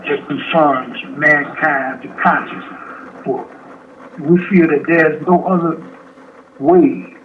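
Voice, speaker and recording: Older man, 70s, slow and halting; tinny phone-line recording. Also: fairly high pitch, slight background noise. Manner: slow, halting, with many restarts. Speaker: incarcerated interviewee. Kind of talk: phone interview from prison.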